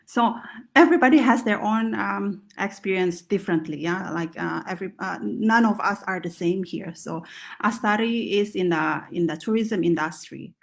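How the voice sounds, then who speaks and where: Host, woman, gravelly voice, stop-start delivery over a video call. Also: mid-range voice. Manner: halting, filler-heavy. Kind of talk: moderating an online panel.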